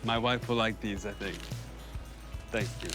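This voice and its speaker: A man's voice: lowish voice, fairly even intonation, unhurried. An American man in his thirties, telling of his life abroad in an easygoing, enthusiastic way with small restarts. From United States. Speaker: documentary subject, immigrant. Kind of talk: documentary voice-over and casual chat. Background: music.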